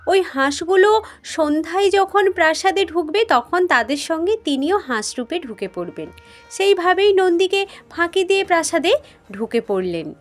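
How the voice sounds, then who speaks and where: Female storyteller, high pitch, scripted narration of myth. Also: very animated, moderate pace.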